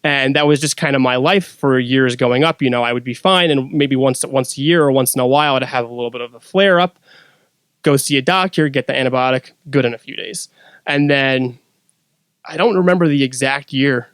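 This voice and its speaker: Clear-voiced man telling his story casually. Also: medium pitch, a little fast, fairly bright tone, no room sound. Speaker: podcast guest.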